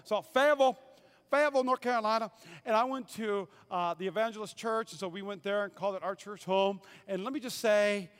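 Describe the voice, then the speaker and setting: A man's voice: high-pitched, fairly quick, a bit bright, clear, resonant tone. A pastor from North Dakota preaching a humorous sermon, playfully quizzing his congregation with call-and-response. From North Dakota, USA.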